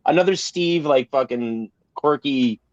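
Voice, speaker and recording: A man's voice: medium pitch, unhurried. A man co-hosting a casual podcast, talking in an emphatic, rambling way and swearing freely. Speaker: podcast co-host. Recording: roomy, slightly dull sound.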